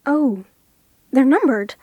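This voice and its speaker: Woman acting curious young woman, audio drama, highish mellow voice, excitable yet slow-paced. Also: husky, no room sound, exaggerated voice. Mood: excited, amazed.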